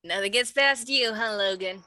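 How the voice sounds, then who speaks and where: A woman's voice: fairly high pitch, moderate pace, pushed and bright. A woman voicing a wry, teasing but caring female superhero in a tabletop roleplaying game, speaking as an old friend. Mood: wry, reassuring. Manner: wry, reassuring.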